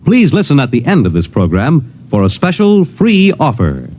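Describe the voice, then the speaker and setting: A man announcing for a radio network in a formal, measured, dramatic 1950s style. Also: medium pitch, wildly swooping pitch, a little mellow. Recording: old radio recording, faint noise.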